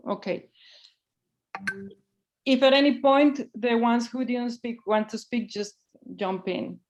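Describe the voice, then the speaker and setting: A woman's voice: on the high side, slow, lo-fi sound. A woman from Mexico hosting an online artist talk over a video call, friendly and a little hesitant, with restarts.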